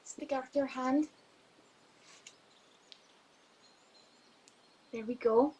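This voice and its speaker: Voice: high-pitched, fairly even intonation, moderate pace, darkish tone, slightly rough, lo-fi sound. A young performer playing Joe, a policeman's curt, grumbling henchman, in an old-fashioned radio play over a video call.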